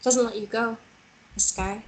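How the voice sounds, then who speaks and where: A woman's voice: a little high, fairly even intonation, measured pace, darkish tone, a little hiss or hum, close to an everyday voice, low-quality recording. A woman acting an earnest, stubborn teenage girl in a screenplay table read. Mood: dreamy, determined. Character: teenage girl Lily, stubborn, curious, dreamy.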